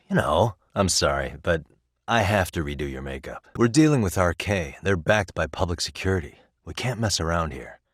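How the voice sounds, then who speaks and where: An actor playing a wry, dogged, streetwise private detective in a video game, speaking in a conversational, self-assured way. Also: male voice, low voice, no room sound, studio quality. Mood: hesitant, insistent. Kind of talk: English video game voice acting.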